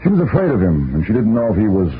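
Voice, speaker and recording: A man's voice: lowish voice, huge pitch swings, very fast. An actor playing an idle, sardonic, brandy-drinking man with an offhand drawl, in 1950s radio drama style. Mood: sardonic, evasive. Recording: tinny, noisy old radio recording.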